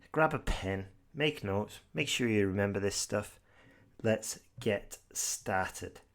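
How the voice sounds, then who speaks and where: A British man from Britain teaching an exam-prep podcast tutorial in a brisk, direct way, with some fillers and restarts. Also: medium pitch. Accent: British English.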